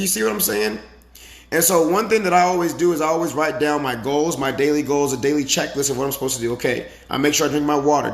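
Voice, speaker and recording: Man, fitness coach, slightly high voice, raspy and a bit hoarse, casual motivational live-stream talk, emphatic, rhetorical questions. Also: fairly bright tone. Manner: emphatic, repetitive, checks in with "right?" and rhetorical questions. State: slightly hoarse from the previous day.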